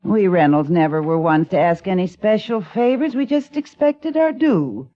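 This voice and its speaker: A woman's voice: lowish voice, lively intonation, moderate pace, natural voice. An actress playing a worried older widow who is raising her grandson, pleading earnestly for help in a vintage radio drama. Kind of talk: 1950s radio acting. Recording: dull lo-fi old radio broadcast.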